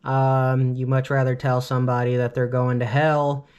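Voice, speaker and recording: A man from Cleveland in his late twenties talks straight to his vlog audience in an earnest, opinionated way. He rambles, restarts, and leans on filler words constantly, with a voice pitched at an average height for a man. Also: completely flat delivery, moderate pace, a little mellow, clean tone, dull audio. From Cleveland, Ohio, US. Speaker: vlogger. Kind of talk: casual storytime monologue.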